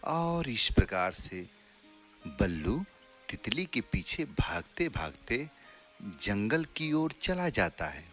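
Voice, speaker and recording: A man reads scripted narration for a children's story. His delivery is slow with lively, wide intonation, and faint music plays behind him. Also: mid-range voice.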